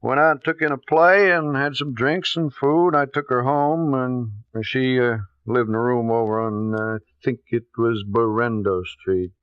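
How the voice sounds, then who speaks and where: A man's voice: mid-range voice, steady tone, clear, resonant tone, no room sound, natural voice. Actor as a hesitant regular guy, muffled radio. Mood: earnest, uneasy. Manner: colloquial, hesitant, halting with repeats. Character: ordinary guy, friendly but uneasy, questioned about missing woman. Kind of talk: 1950s radio drama acting. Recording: muffled old radio recording.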